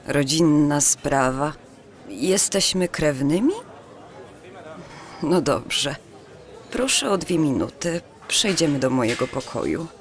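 An actress playing a polite but wary, incredulous young café owner from pre-war Warsaw in an audio drama. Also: female voice, low voice.

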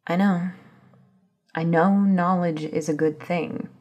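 A woman playing an anxious, self-doubting scientist in an audio drama, breathy and halting as she searches for words. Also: on the low side, fairly even intonation, a little mellow, lo-fi sound. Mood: anxious, hesitant. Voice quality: breathy, husky. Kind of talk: ASMR audio drama acting.

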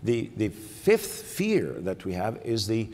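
An older man speaking on a conference panel, halting, with many repeated words and fillers. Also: fairly low pitch, huge pitch swings, a little mellow. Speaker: panelist, city mayor. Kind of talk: panel discussion. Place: large conference hall, on stage. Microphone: lapel mic. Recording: long hall reverb.

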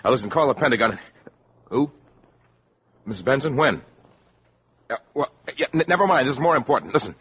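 An actor playing a self-serving, hot-headed American boyfriend in a 1950s radio drama, brash and insistent. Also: male voice, medium pitch, animated, brisk, pauses often, fairly bright tone, breathy edge. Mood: brisk, excited. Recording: dull vintage 1950s radio recording.

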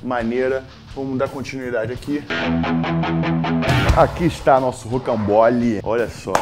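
A young Brazilian man hosting a comic cooking vlog in his home kitchen, brash and jokey, jumping from loud hype to low mutters. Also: steady tone, unhurried, loud music behind the voice. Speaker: cooking show host.

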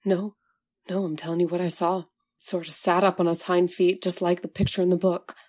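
A woman's voice: on the low side, flat delivery, fairly quick, darkish tone, natural voice. A woman playing a devoted, pleading young woman. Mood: hushed, fearful. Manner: breathless, pleading. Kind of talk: old-time radio drama acting.

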